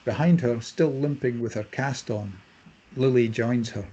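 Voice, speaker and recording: A man's voice: medium pitch, measured pace, warm tone, breathy edge, lo-fi sound. A man reading stage directions as scripted narration for a drama table read.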